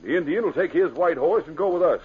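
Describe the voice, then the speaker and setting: An American actor playing a hearty, take-charge Old West sheriff, folksy and authoritative, in a 1950s radio western. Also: male voice, fairly high pitch, lively intonation, fast talker, slightly rough, narrow, tinny audio. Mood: brisk, commanding.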